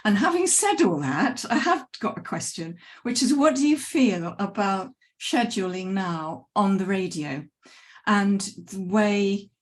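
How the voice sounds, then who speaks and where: A woman putting an unscripted question to a panel in a halting, slightly apologetic way. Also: on the low side.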